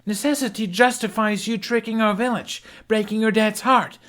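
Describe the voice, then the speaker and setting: A fierce young Viking warrior woman, acted out by a male audiobook reader, pitched well up and indignant. The delivery is heated and emphatic, every line pushed out like an accusation. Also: fairly even intonation, moderate pace, a bit bright. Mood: outraged. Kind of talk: audiobook character acting.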